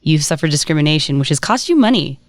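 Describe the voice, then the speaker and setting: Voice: medium pitch, sing-song, fast, no echo. A podcast host asking questions in an articulate, wry way, with laughing asides. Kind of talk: casual podcast interview.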